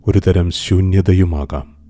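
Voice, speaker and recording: A man guiding a scripted meditation in a calm, soothing, gentle manner, pausing deliberately between phrases. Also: sits low, no echo, clean studio sound. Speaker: meditation guide. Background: music.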